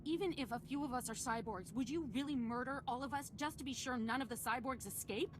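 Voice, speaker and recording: Lady voicing wary civilian in a game, highish voice, pleading but sensible, noisy ambience behind. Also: a little fast, close to an everyday voice. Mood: wary, indignant. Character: wary but level-headed civilian woman pleading for survivors. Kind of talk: scripted video game acting.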